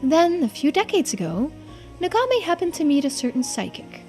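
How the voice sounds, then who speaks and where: Woman doing a knowing polite lore keeper for a game, high mellow voice, lots of melody. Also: very animated, normal speed, faint music behind. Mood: storytelling. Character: calm, knowing, courteous storyteller of mermaid lore. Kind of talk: video game dialogue read aloud in character.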